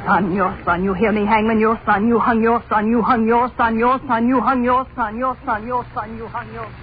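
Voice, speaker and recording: A woman's voice: mid-range voice, steady tone, brisk, close to an everyday voice. A woman playing a bitter, grieving mother in an old-time radio melodrama, insistent and accusing. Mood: relentless anguish. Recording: tinny, noisy old radio recording.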